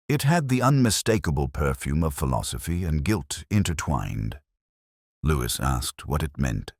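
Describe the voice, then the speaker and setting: Male narrator for literary detective fiction: extremely low voice, expressive swings in pitch, dry close sound. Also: no room sound. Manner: measured, literary, melancholy delivery. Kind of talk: scripted first-person audiobook narration.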